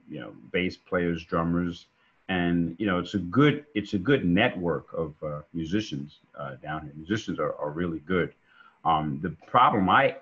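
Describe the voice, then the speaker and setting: Very deep husky New man. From New York. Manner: relaxed, rambling with many fillers. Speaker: guest musician. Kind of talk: casual interview over video call. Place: home room indoors. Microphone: video call webcam. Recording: dull lo-fi call audio.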